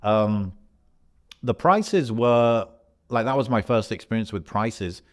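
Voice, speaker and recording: A man from Algeria, a podcast co-host, telling a travel story in a relaxed, rambling way with slang and fillers. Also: lowish voice, slow pace. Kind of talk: casual podcast chat.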